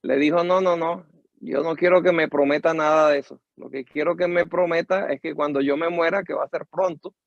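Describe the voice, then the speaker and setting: Older Venezuelan guest, gravel in a higher voice, slow reflective storyteller. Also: male voice, no echo, lo-fi sound. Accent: Venezuelan Spanish. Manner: measured, reflective storytelling with pauses and restarts. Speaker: guest musician and teacher. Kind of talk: interview, storytelling.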